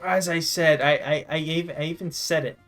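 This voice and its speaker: A man chatting casually while playing a game, excitable and quick to swear. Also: a little high, soft background music. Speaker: gamer co-hosting a gameplay video.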